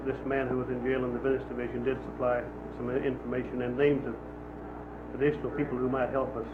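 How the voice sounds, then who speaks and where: A man with an American accent, a police investigator answering press questions in a measured, official manner. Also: mid-range voice, level tone. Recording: tinny noisy archival newsreel sound.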